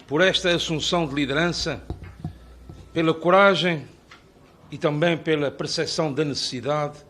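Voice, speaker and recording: A man's voice: on the high side, a bit bright. A middle-aged man speaks with an Azorean Portuguese accent. He delivers a formal, ceremonial address in a slightly raised voice, stacking phrase after phrase in rhetorical lists. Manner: ornate, rhetorical, long listing phrases. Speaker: invited speaker at a parliamentary hearing.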